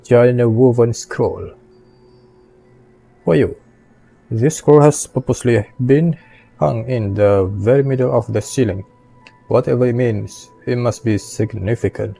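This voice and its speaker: Slow-talking man doing casual gameplay commentary. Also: mid-range voice, gravelly, warm tone, poor audio. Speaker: video game streamer. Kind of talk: casual gameplay commentary, reading on-screen text. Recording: faint game music behind.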